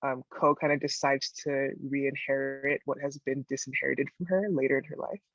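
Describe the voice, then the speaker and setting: A man from the United States moderating a virtual Q&A, asking an earnest, halting question over a video call. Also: mid-range voice, flat delivery, a bit bright, no room sound. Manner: earnest, halting, filler-heavy. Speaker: event moderator. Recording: dull muffled audio.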